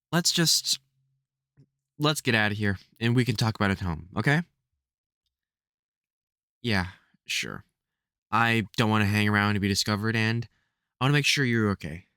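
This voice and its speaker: Someone acting a gruff protective vampire hunter, sweary, voice swings a lot, really dry sound, audio drama. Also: mid-range voice, bright, forceful tone, dry recording. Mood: reassuring. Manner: sweary, urgent, stammering when worried. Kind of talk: audio roleplay drama spoken to the listener.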